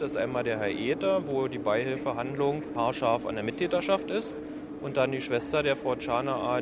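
Male interviewee, medium pitch, explaining matters, loud traffic and city ambience around him. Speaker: interviewee explaining a court case. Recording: loud outdoor street noise. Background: city ambience and vehicles.

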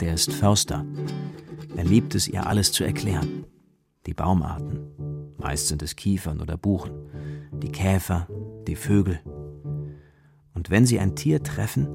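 A man narrating a children's radio play as a scripted story, with a gravelly voice. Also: sits low. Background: music, plucked guitar.

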